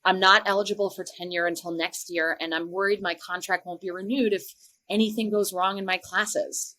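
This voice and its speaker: Mid-pitched worried young-career teacher played by an actress. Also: female voice, fairly even intonation, brisk, pushed and bright, natural voice, rough recording. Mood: worried, hesitant. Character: worried, hesitant untenured female teacher. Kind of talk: dramatized podcast acting.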